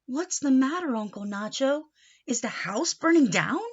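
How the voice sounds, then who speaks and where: A cheerful, caring schoolgirl niece from a picture book, voiced by a woman. Her voice is high and eager, full of exclamations. The sound is a little dull and lo-fi. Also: lively intonation, normal speed, husky. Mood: concerned, calling.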